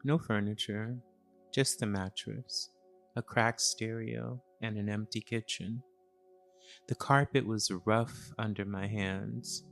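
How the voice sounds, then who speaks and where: A man narrating a scripted first-person story in a solemn, reflective, measured way. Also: lowish voice, fairly even intonation, vocal fry, fairly bright tone, soft background music.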